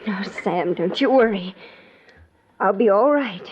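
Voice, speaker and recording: Woman acting gentle young settler wife, slow brave talk, old dull hissy radio western. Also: medium pitch, natural voice. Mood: weak, reassuring.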